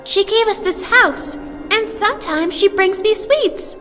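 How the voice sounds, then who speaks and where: Sweet game child: tiny, extremely high voice in long reverb. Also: female voice, lively intonation, unhurried, a bit bright, full character voice. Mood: innocent. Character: innocent, sweet little child. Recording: long reverb with faint music.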